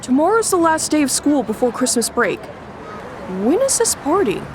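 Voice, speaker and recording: Woman acting high, lively wisecracking schoolboy. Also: normal speed, background noise. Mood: matter-of-fact. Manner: breezy, teasing slang. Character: chunky, wisecracking middle school boy, loyal best friend. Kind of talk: family audio drama acting.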